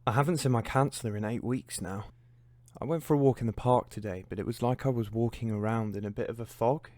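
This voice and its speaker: A man's voice: mid-range voice, fast talker, darkish tone, dry recording, natural voice. Actor as withdrawn man, dry sound. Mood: low, isolated. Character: withdrawn, lonely man adrift in a fog. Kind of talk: spoken diary monologue in a short film.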